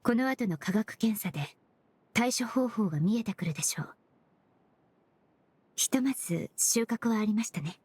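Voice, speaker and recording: A woman voicing a composed, courteous young forensic cleaner in an anime game dub, speaking formally and analytically in measured phrases. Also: a little high, expressive, darkish tone, breathy edge. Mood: calm, analytical. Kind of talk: Japanese anime game dubbing.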